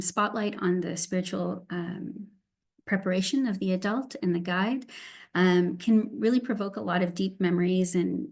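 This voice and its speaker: A middle-aged woman with a Canadian English accent talks over a video call, her voice sitting slightly low. She speaks haltingly and a bit nervously, with many ums and restarts as she moves through her slides. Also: gravelly, a little mellow. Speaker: guest speaker, Montessori teacher educator. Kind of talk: online presentation with shared slides.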